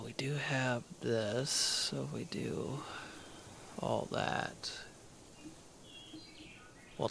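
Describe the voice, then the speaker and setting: A man's voice: mid-range voice, vocal fry. A man streaming a game live, thinking aloud as he plays, half-muttering his plans, with a crackly voice from a dry throat. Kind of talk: casual live gameplay commentary.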